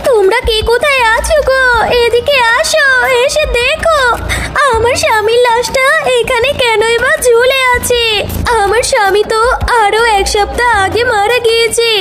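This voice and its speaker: A woman's voice: extremely high pitch, fairly even intonation, moderate pace, fairly bright tone. A woman voicing a distraught, wailing widow in a children's cartoon story. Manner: wailing, exclaiming. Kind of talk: animated children's story dialogue. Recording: music behind.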